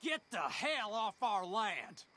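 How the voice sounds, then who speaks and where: An actor playing a hostile, sneering backwoods feuder in a video game, distant amid some noise. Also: male voice, high voice, sing-song, takes it slowly, bright, forceful tone, heavily acted. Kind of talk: video game cutscene acting.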